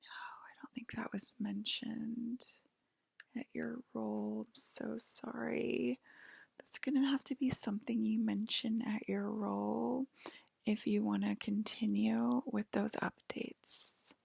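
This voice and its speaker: A woman in a soft-spoken, whispered ASMR roleplay, breathy and halting, explaining things in a hushed, soothing, clerk-like way. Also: fairly high pitch, level tone, dry recording.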